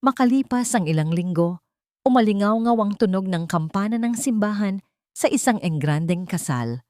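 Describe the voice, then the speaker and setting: A woman's voice: medium pitch, animated, moderate pace, darkish tone, no echo, studio quality. A woman reading a scripted romantic drama story as a narrator.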